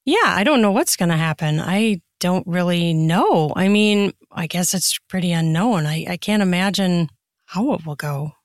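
A woman's voice: fairly low pitch, expressive, moderate pace, dry recording, studio quality. A woman giving casual, conversational answers as an interview guest. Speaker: podcast guest, author.

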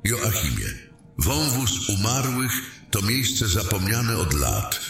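A man playing a measured, dignified king presiding over council in a fantasy audio drama, calm, formal and authoritative. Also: lowish voice, faint music behind, full character voice. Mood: calm, patient. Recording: very echoey hall sound.

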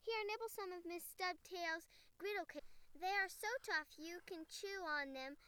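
Uncle Wiggily, a woman doing a soft high old rabbit gent. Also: measured pace, dry recording, exaggerated voice. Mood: polite, gentle. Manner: gentle, polite, soft-spoken. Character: kindly elderly male rabbit gentleman.

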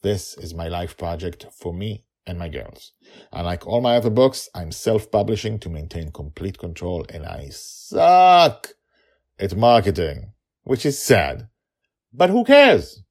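A middle-aged man hosting a solo podcast, talking informally to listeners in an emphatic, halting way with swings in loudness. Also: deep voice, very animated. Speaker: podcast host and author.

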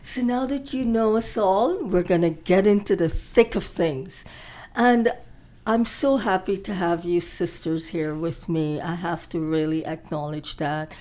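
A woman's voice: fairly low pitch, darkish tone. A woman hosting a panel talk show, introducing guests and reading a quoted statement. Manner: slow, deliberate, affirming interjections.

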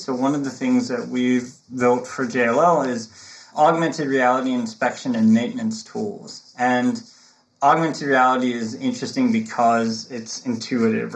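A native English-speaking man, a technology consultant, explaining as an interview guest with animated fillers. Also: mid-range voice, low-quality recording. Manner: animated, fillers like "look" and "right?". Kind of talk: conversational interview.

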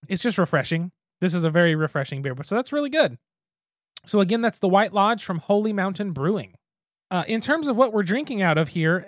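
A man's voice: high voice, no room sound, studio quality. A man co-hosting a podcast, chatting casually and thinking aloud as he reviews a beer. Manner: easygoing, thinks aloud with restarts. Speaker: podcast co-host.

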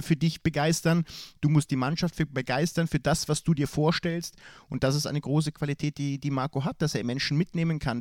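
Man with a cold, halting answers. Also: a little high, darkish tone, dry recording, clean studio sound. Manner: hesitant, many fillers and restarts. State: has a cold. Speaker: football club sporting director. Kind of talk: relaxed interview answers.

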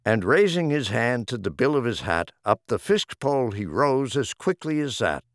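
Man, audiobook reader, lively swooping intonation, rhythmic theatrical verse recitation, dry close recording. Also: medium pitch, moderate pace, dry recording. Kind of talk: scripted reading of humorous rhyming poems.

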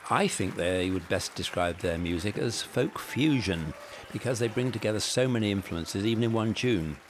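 A man presenting a radio music segment in a measured, unhurried way. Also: fairly low pitch, animated, a little hiss or hum. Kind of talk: scripted radio link.

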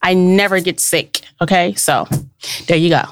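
A woman's voice: fairly low pitch, fairly even intonation, moderate pace, a little hiss or hum. A congested, nasal-sounding woman co-hosting a casual podcast, chatty with lots of fillers. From Cincinnati area. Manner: warm, chatty, hesitant with fillers. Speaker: podcast co-host.

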